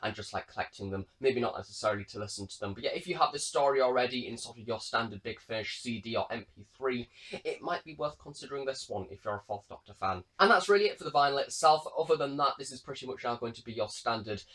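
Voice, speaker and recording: A man's voice: medium pitch, lively intonation, fast talker, fairly bright tone. A British man giving a casual, chatty product review in a rambling way. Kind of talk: casual unboxing review talk.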